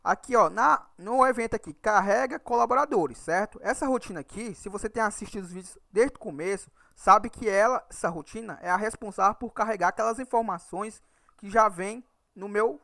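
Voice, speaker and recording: A man's voice: high-pitched, a little fast, creaky, pushed and bright, dry recording. Cearense man teaching code, dry close mic. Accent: Northeastern Brazilian (Ceará). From Ceará, Brazil. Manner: explanatory, checks in with "certo?" after nearly every point. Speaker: Excel VBA instructor. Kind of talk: screen-recorded programming tutorial.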